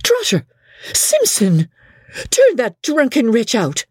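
A woman's voice: big pitch swings, moderate pace, darkish tone, husky, dry recording, clean studio sound. A woman voicing an indignant, imperious lady of the house in an audiobook. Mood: furious. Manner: imperious, shrill outbursts.

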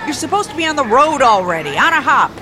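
A woman's voice: high voice, very animated, fast talker, crowd noise. A woman acting in an audio drama as a brusque, sarcastic, hot-tempered bus company boss. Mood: angry, threatening.

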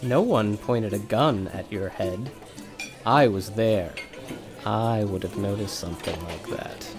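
An actor playing a cold, curt male corporate field agent who talks in a flat, clipped, deadpan way. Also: on the low side, slow, music in the background. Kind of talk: audio drama acting.

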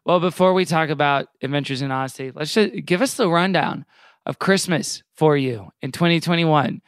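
A man's voice: on the high side, normal speed, smooth, no room sound, clean studio sound. A man hosting a podcast in casual comedic chat, with a jokey, mock-earnest way of talking.

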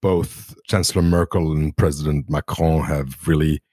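A man's voice: rumbling low, husky, no echo, clean studio sound. A man from Italy, a native Italian speaker, conducting an interview in a conversational, thoughtful way. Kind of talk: interview questions.

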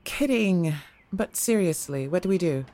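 A woman playing a sarcastic, impatient ghost hunter in an audio drama, with a deadpan, sardonic delivery. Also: lowish voice, measured pace, no echo. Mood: wry, questioning.

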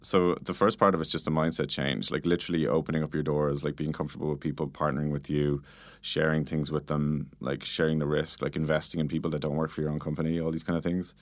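Very deep-voiced man, talking fast. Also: level tone, dry recording. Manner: informal, filler-heavy explaining with frequent "like" and "you know". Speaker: interview guest, product manager. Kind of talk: casual conversational interview answers.

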